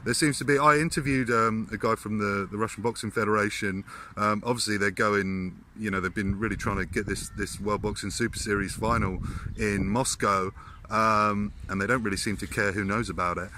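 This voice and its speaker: A man's voice: on the low side, steady tone, a little fast, pushed and bright, slight background noise. A man interviewing a boxing promoter, chatty and agreeable. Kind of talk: casual interview.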